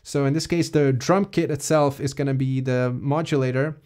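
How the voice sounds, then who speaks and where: A man giving a casual, explanatory software walkthrough as a music production tutorial presenter. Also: mid-range voice, steady tone, normal speed.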